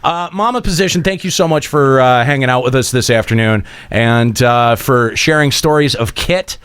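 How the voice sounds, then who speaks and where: A man's voice: medium pitch, moderate pace, fairly bright tone, smooth, no echo. A man hosting a podcast, bantering casually with his co-hosts. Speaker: podcast host.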